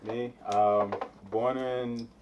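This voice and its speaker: A young man raised in Nigeria answering interview questions in a laid-back, halting way with many fillers. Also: mid-range voice, level tone. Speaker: guest musician. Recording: distant, slightly muffled mic with faint background noise.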